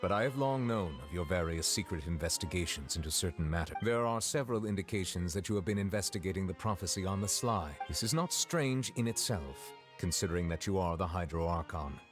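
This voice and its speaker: Grave, composed game magistrate: low register, formal and measured, stern. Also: male voice, lively intonation. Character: stern, composed adult male chief judge. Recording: faint music behind game dialogue.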